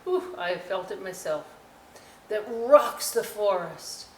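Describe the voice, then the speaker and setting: A woman hosting a children's storytime, reading aloud and explaining crafts with folksy, chatty, expressive asides to young listeners. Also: medium pitch, slow pace. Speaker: library storytime host. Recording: roomy echo, distant mic, faint noise.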